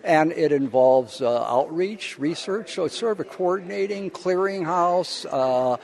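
A male professor is being interviewed, his voice a little high for a man and noticeably creaky. He explains things informally and haltingly, wandering through his answers. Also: animated, a little mellow.

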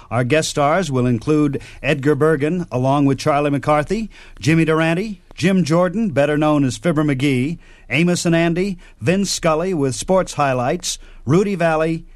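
A man hosting a radio show of old-time highlights, announcing from a script in a smooth, measured way. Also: dry recording. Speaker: radio host. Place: radio studio in Hollywood. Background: music.

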